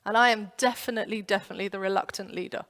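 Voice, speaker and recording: Woman, pastor, animated sermon, mid pitch, echoing hall. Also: rapid-fire. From London. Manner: animated storytelling with acted-out inner voices. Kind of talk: youth sermon. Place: church.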